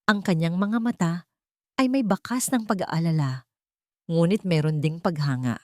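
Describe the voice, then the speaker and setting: A Filipino woman reading a first-person drama story aloud in a measured, earnest way. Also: lowish voice, warm tone, dry recording, studio quality. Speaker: audiobook narrator.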